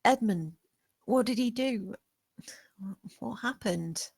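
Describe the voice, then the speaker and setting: A woman's voice: fairly high pitch, slow pace, vocal fry, darkish tone, dry recording, heavily acted. Woman acting defiant, probing servant in gothic drama. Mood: urgent. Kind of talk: dramatic screenplay table read.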